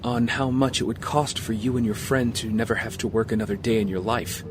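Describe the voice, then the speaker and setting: An actor playing a weary, guarded castaway with a secret life, speaking in a measured, understated way. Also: male voice, fairly low pitch, fairly even intonation, noisy background, lightly acted. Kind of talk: audio drama acting.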